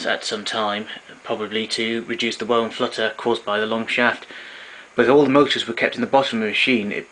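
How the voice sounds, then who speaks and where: Bright, low-voiced man explaining equipment. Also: low-quality recording. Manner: matter-of-fact, explanatory. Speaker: vintage tape recorder collector presenting. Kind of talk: informal technical demonstration narration. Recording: clear background noise, room ambience.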